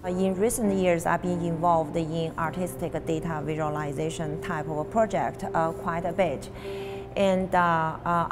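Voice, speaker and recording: A woman speaks in a low voice with music clearly behind her. She explains her own work as an artist, pausing hesitantly along the way. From China.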